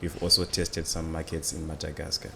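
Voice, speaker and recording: Deep-voiced young Zimbabwean man, faint noise. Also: monotone, brisk, gravelly, fairly bright tone. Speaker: interview guest, student entrepreneur. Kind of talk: conversational storytelling.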